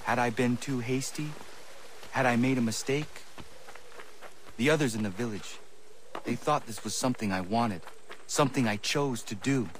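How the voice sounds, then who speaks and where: An actor playing an earnest, doubtful but determined young man in a video game cutscene, speaking in a solemn, measured way. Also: mid-range voice, a little hiss or hum, close to an everyday voice. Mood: solemn, reflective. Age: young adult.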